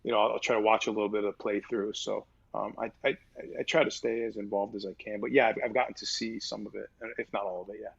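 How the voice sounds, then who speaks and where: A man with a husky mid-register voice chats loosely in an interview, piling on fillers and restarts and tossing in casual swears. The sound is dull and lo-fi. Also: on the low side, flat delivery, quick pace, few pauses. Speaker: voice actor guest.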